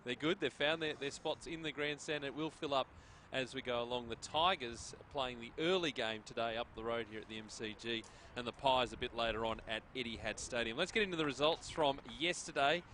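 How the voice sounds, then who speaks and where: A man's voice: medium pitch, brisk, pushed and bright, slight background noise. A middle-aged Australian man hosting a football broadcast, chatting and joking before reading out scores and ladder standings. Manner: chatty, jokey, reads out scores. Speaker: sports broadcast host.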